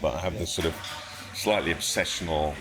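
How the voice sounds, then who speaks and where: A middle-aged man from Sri Lanka, a guest speaking in a thoughtful, modest, low-key way during an interview. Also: steady tone, fairly quick, over loud music. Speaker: guest, surgeon and painter. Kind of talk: reflective interview talk.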